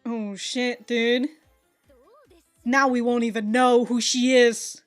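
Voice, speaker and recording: Woman, high voice, casual reaction commentary, slangy, sweary, exclamatory. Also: level tone, slow, soft background music. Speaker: reaction video host. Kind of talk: casual reaction commentary to an anime, reading subtitles aloud.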